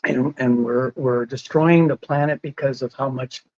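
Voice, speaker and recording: A man's voice: medium pitch, steady tone, normal speed, darkish tone, dull audio. A man in a casual podcast conversation, explaining whole foods in a patient way and laughing often. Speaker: lifestyle medicine advocate.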